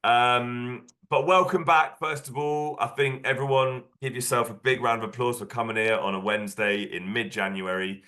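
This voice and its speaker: Croaky man hosting an online workshop. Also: medium pitch, fairly even intonation, moderate pace, hardly any pauses, pushed and bright. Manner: casual, filler-heavy, upbeat. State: hoarse from a cold. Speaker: workshop host.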